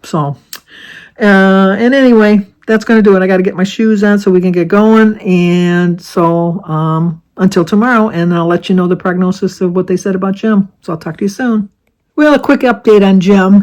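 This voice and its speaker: A woman in her seventies from Michigan, chatting casually to her viewers with a slightly raspy voice and rambling off topic. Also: mid-range voice, darkish tone, low-quality recording. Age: 70s. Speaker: vlogger. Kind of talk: casual chat to camera.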